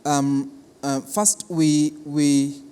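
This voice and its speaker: A man with a Kenyan accent explaining a programme in an interview as an official, deliberate and repeating words for emphasis. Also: on the high side, steady tone, dark tone, clear, resonant tone. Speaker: cultural centre official being interviewed. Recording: reverberant hall.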